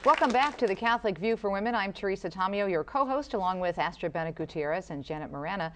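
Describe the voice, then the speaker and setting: A woman co-hosting a talk show, briskly steering the conversation and introducing segments. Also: medium pitch, animated, a bit bright, some chatter behind, slight background noise. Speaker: co-host.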